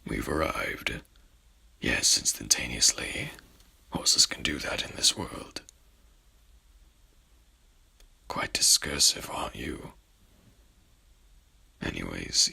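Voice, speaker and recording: A man playing a courtly, haughty headless horseman in a whispered ASMR roleplay, speaking with pompous, archaic formality in a breathy, gravelly voice. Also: extremely low pitch, bright, forceful tone, heavily acted. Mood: soothing, formal.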